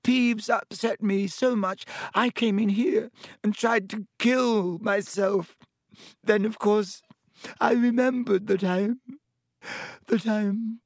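A man performs a self-pitying ghost of a teenage girl in a storybook reading. The voice is lifted high and choked with tears. It stretches words slowly into dramatic, suspicious wails. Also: steady tone, gravelly, no room sound, studio quality, full character voice.

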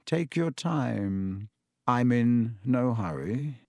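A man acts out a young dark wizard villain from a storybook, cold and sinister. He gloats and taunts, stretching out his menace in slow phrases. The sound is dry and close, with no room around it. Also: medium pitch, expressive, no echo. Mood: gloating, unhurried. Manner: taunting, menacing, drawn-out delivery.

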